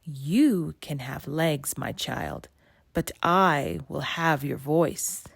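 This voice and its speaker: A woman voicing a sinister, scheming sea witch in a storybook, with a croaky, rasping, drawn-out menacing delivery. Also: sits low, animated, dry recording, studio quality, exaggerated voice. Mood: coaxing, menacing.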